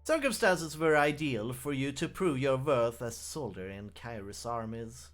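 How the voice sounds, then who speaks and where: A man's voice: mid-range voice, lively intonation, normal speed, faint music behind. A man hosting a let's play, reading the game's text aloud and often restarting words as he stumbles.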